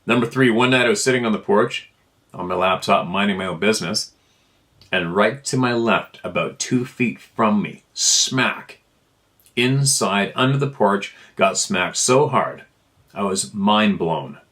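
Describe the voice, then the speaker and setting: A man's voice: on the low side, unhurried, a bit bright. A man reading a first-person account aloud in an informal storytelling style. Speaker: narrator reading listener emails.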